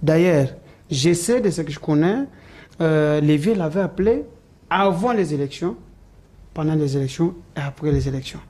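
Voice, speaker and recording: A man's voice: a little high, lively intonation, normal speed, dark tone. A man answering interview questions, hesitant and full of "euh" fillers and restarts. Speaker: political supporter interviewed as guest.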